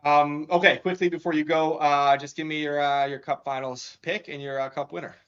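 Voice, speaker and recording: A man's voice: on the high side, steady tone, a little fast, a bit bright, clean tone. Upbeat man hosting, lo-fi sound. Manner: upbeat, laughing, filler-heavy. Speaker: show host. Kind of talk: casual sports betting chat. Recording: dull lo-fi sound.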